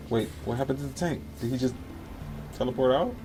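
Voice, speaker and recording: An American man from Miami, chatting casually and easygoing as he gives live gaming commentary. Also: medium pitch. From Miami, Florida, United States. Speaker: livestreamer. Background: music and game sounds.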